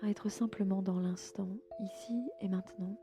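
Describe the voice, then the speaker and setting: Female relaxation guide, medium pitch, gentle inviting delivery with soft endings, music clearly underneath. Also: steady tone, normal speed. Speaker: host and yoga nidra guide. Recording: music bed behind the voice.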